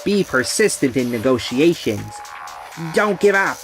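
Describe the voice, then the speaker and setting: Casting: woman as stubborn, prideful sales boss in a manga dub, low register, music behind. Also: big pitch swings, a little slow, full character voice. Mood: insistent. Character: proud, stubborn sales manager, defensive under pressure.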